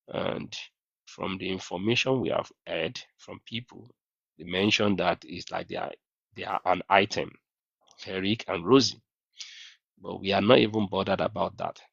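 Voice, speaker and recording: A very deep male voice hosts a livestream, putting questions to a guest and reading out what viewers ask. The sound is dull and lo-fi, with a dry, close feel and no room around it. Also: creaky, fairly bright tone, dry recording. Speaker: livestream host. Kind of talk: livestream interview.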